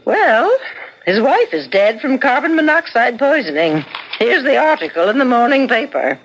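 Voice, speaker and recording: An American actress playing a sharp, bitter, suspicious woman in a 1970s radio drama, in a pointed, dramatic way. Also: on the high side, big pitch swings, normal speed, husky, muffled recording. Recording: noisy old radio broadcast.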